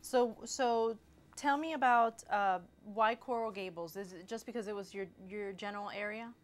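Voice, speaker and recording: A woman's voice: medium pitch, moderate pace. A woman hosting a local TV interview who asks friendly, curious questions. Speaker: host of city news program.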